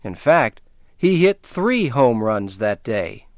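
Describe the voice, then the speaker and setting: An American man reading scripted educational narration in a measured, carefully enunciated way for English learners. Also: medium pitch, sing-song, no room sound, clean studio sound.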